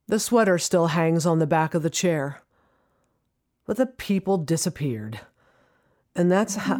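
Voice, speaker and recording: A woman performing scripted first-person dramatic narration in a reflective, intimate storytelling manner. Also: medium pitch.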